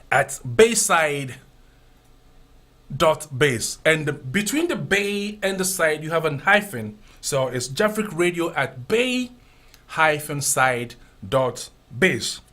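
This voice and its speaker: A man with a Ghanaian accent hosting a casual radio chat show, animated and prone to repeating himself. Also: on the high side. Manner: animated, repeats phrases, drawn-out spelling of contacts. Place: radio studio.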